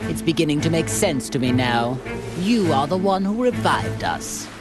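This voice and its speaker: A man's voice: on the high side, lively intonation, moderate pace, natural voice. A man voicing a sly, haughty alien tyrant in an anime game, mock-polite, precise and condescending. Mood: haughty disdain. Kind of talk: English-dubbed anime game acting. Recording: loud music under the voice.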